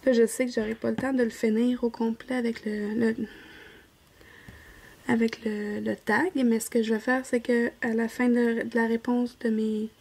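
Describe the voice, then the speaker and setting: Husky, creaky Québécois woman, chatty. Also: fairly high pitch, level tone, normal speed, darkish tone. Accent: Québécois French. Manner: rambling, chatty, self-correcting, often trails off to a near whisper. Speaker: diamond painting vlogger. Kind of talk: casual chat to viewers while crafting.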